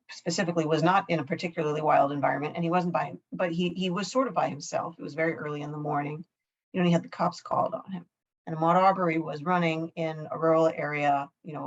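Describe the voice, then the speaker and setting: A woman with a low, husky voice hosts an interview and phrases her questions with care, often searching for the right words. Her audio sounds dull and lo-fi, as from a video call. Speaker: host.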